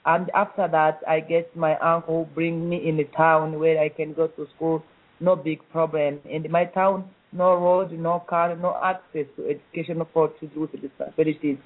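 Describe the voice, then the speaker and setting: A middle-aged woman from DR Congo with a Congolese accent. She is a guest in an interview, answering in simple, halting phrases with frequent pauses. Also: low voice, little pitch movement. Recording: tinny phone line.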